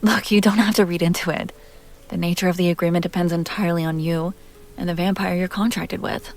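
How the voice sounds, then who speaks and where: A woman playing a seductive, refined vampire heiress in an intimate roleplay audio drama, speaking softly in deliberate, genteel phrases with breathy, teasing asides that often drop to a whisper. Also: fairly low pitch, steady tone, soft background music, lightly acted. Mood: polite, explaining.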